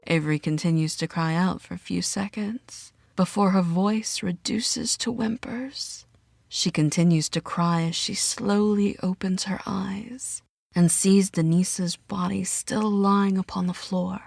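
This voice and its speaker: A woman narrating a scripted horror story with a measured, dramatic delivery. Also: mid-range voice, vocal fry, darkish tone, no echo, clean studio sound. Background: music.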